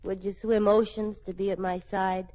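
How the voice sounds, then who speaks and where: An actress playing a playful, scheming sitcom wife in an old radio comedy, with a coy, wheedling delivery. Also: female voice, medium pitch, flat delivery, normal speed, mellow, lightly acted. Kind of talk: 1940s-50s radio sitcom acting. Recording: dull old radio recording.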